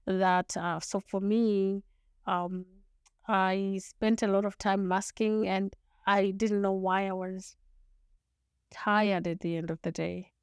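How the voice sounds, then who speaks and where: A woman's voice: mid-range voice, smooth, no room sound, clean studio sound. A woman from Zimbabwe with a Zimbabwean accent, a native Shona speaker, telling her story as a podcast guest in an earnest, thoughtful way. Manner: earnest, thoughtful, frequent restarts and small laughs. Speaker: guest, lawyer. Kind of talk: podcast interview, reflective storytelling.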